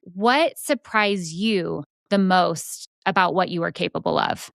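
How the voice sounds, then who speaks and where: A woman podcast host coaches and encourages her guest in conversation. She affirms often and asks reflective questions. Her voice is smooth and clear, recorded dry and close. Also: on the low side, unhurried, dry recording. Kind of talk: conversational interview.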